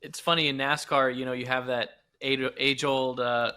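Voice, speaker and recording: Bright-voiced American man chatting casually. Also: mid-range voice, little pitch movement, normal speed, clean tone, dry recording. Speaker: talk show host. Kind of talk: casual chat.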